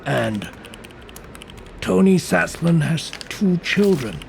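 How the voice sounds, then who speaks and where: An actor playing a gruff, foul-mouthed police detective in an audio drama. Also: male voice, fairly high pitch, sing-song, slow pace, darkish tone, a little hiss or hum, exaggerated voice. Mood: businesslike, weary.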